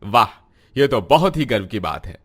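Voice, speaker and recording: An actor voicing a firm, kind-hearted army major in a cartoon story, speaking with calm authority. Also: male voice, medium pitch, moderate pace, husky. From Punjab. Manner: firm, warm-hearted, commanding. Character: kind, upright army major, middle-aged man. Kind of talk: cartoon voice acting.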